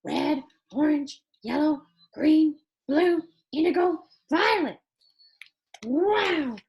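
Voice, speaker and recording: A woman's voice: high voice, vocal fry, a little mellow, full character voice, rough recording. A woman voicing an eager childlike puppet friend who reads a picture book to children in an exaggerated, wondering manner. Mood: gentle, wondering. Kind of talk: animated children's storybook read-aloud.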